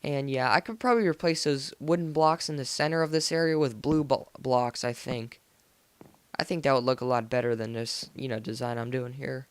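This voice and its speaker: Smooth-voiced, rambling American teenage guy. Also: medium pitch, fairly quick, no room sound. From United States. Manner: rambling, informal, slangy. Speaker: gaming video host. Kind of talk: casual game commentary. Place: voice-over recorded indoors after gameplay.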